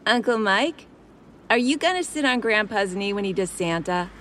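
A man's voice: high-pitched, expressive, moderate pace, sharp tone, a little hiss or hum, voice pushed up. A man voicing a pleading, sulky little girl with a whiny, childlike way of talking in a told story. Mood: curious, insistent.

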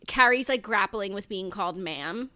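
A woman's voice: mid-range voice, animated, normal speed, pushed and bright. A woman co-hosting a podcast in casual chat, earnest and enthusiastic. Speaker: podcast co-host.

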